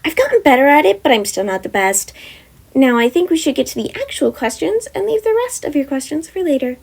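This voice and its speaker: A woman's voice: high voice, very animated, a little fast, gravelly, voice pushed up, exaggerated voice. A woman voicing a bubbly deer-elf wizard girl. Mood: cheerful. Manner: bright, chatty and eager.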